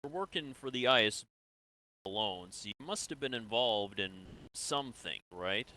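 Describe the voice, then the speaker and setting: Man playing a bright-voiced, businesslike military interrogator. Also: mid-range voice, expressive, normal speed, poor audio. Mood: probing, businesslike. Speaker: role-player in an online game. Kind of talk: improvised sci-fi role-play dialogue.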